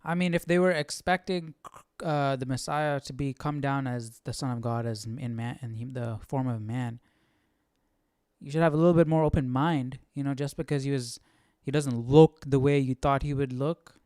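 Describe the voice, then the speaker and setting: Smooth-voiced man musing casually, dry sound. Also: mid-range voice, fairly even intonation, moderate pace, a little mellow, no echo. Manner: questioning, musing. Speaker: host of Bible study livestream. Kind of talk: casual thinking-aloud commentary.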